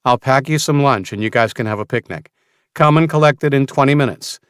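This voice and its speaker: A man voicing a caring, practical mother of a teenager in an audiobook, gently firm and instructing. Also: medium pitch, brisk, smooth, no echo, studio quality, voice pushed up, close to an everyday voice.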